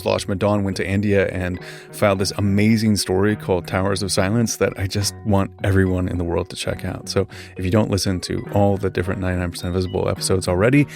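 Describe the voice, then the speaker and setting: A male podcast host with a lowish, gravelly voice. He talks in a relaxed, easygoing way and laughs often. Soft music plays faintly behind him. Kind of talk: casual book club chat and show credits.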